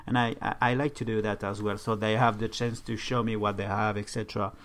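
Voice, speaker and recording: A man's voice: lowish voice, flat delivery, brisk, a bit bright. A man with a French accent, a native French speaker, chatting casually as a podcast guest and often repeating words as he searches for the phrase. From France. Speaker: podcast guest, gaming streamer.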